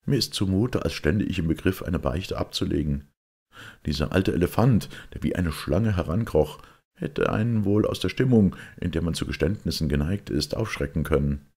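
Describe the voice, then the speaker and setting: A man plays a young male secretary in a detective audiobook, bitter and impatient. He speaks urgently and emphatically, in a husky voice lifted above the narrator's. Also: low voice, expressive, normal speed, dark tone, pitched above the performer's own voice, exaggerated voice. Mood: confiding.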